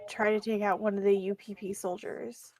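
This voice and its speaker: A woman's voice: medium pitch, little pitch movement, normal speed, darkish tone. A woman playing a tabletop game and chatting casually. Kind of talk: casual chat.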